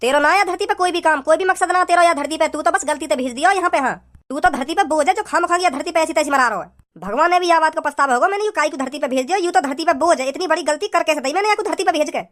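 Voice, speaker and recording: A man playing a scolding, preachy village father in a comedy cartoon, with a pitched-up voice. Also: fast, fairly bright tone. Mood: scornful. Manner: preachy, repetitive, hectoring.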